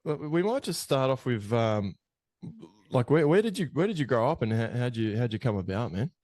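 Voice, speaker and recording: A man's voice: medium pitch, sing-song, moderate pace, a little mellow, no echo, studio quality. A man hosting a podcast, chatting loosely, rambling and swearing often. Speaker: podcast host. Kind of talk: casual podcast chat.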